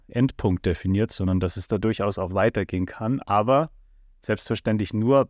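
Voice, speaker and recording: A man with a slightly low voice co-hosts a relaxed podcast. He speaks in a measured, reflective way, softening his points with little tag words. The sound is dry and close-miked. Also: dry recording. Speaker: podcast co-host. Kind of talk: casual podcast conversation.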